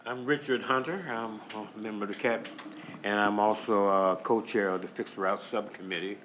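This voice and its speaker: A man's voice: lowish voice, fairly even intonation, normal speed, a bit bright, a little hiss or hum. A man, a committee member, introduces himself at a public meeting, hesitating and trailing off.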